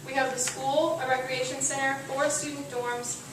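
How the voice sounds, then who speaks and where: A young woman from Houston opens a group presentation in a steady, measured way. Her slightly high voice stays flat. She sounds far from the mic in a very echoey room with noise behind her. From Houston, Texas. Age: young adult. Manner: steady and measured, some fillers. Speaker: graduate student presenting. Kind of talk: class presentation.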